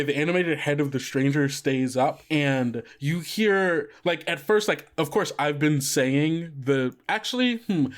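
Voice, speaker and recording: A man narrating as game master, rambling with many fillers and frequent laughs. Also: fairly high pitch.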